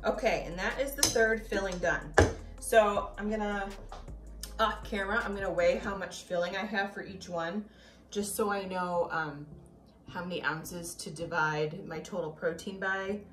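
A young woman talks her viewers through a recipe in an easygoing, chatty way, tasting as she goes. Her voice sits a bit back from the camera in an echoey home kitchen, with clear background noise. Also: medium pitch, fairly even intonation, unhurried, recorded from a distance. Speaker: cooking vlogger. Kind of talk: casual cooking demo.

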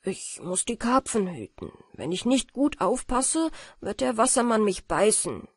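Storybook boy under a spell acted by a woman, blank, distant, slow, husky. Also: on the high side, sing-song, no echo, studio quality, exaggerated voice. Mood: dully fearful. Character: soulless enchanted boy, blank and indifferent.

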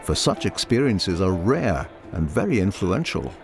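A man giving scripted documentary narration in a measured voice. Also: sits low, very animated, vocal fry. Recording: voice-over with music behind.

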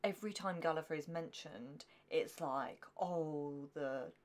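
A woman's voice: sits low, measured pace. A young woman co-hosting a reaction video, thinking aloud in casual, informal talk. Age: young adult. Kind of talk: casual chat. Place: small indoor room at home. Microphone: microphone on the desk.

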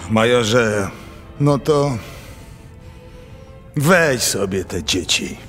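A worried nobleman and father in a radio play, with music behind. He speaks slowly at a medium pitch, politely hesitant and evasive. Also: animated, a bit bright. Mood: alarmed. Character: anxious aristocratic father, polite but evasive. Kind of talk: radio drama acting.

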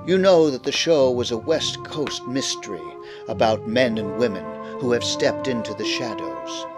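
A man's voice: medium pitch, animated, moderate pace, over music. Man teasing the listener like a mystery host. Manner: teasing, rhetorical questions to the listener. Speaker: host of a radio workshop promo. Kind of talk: parody of an old radio mystery intro.

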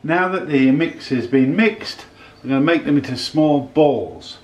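A man in his sixties giving a casual, unhurried cooking demonstration in a home kitchen, often repeating his phrases as he goes. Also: a little high, animated. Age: 60s. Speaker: home cook, presenter. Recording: clattering dishes, clicks, kitchen noise.

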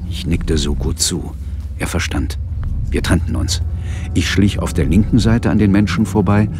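An actor playing a cool, tense police inspector who narrates a demon fight in a dramatic radio play. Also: male voice, sits low, noisy background, natural voice. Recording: music bed under the voice. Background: dramatic music.

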